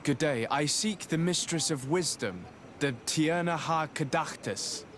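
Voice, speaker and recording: A man's voice: a little high, a little slow, fairly bright tone, slight background noise. A man playing an earnest, courteous and resolute young nobleman envoy in a video game.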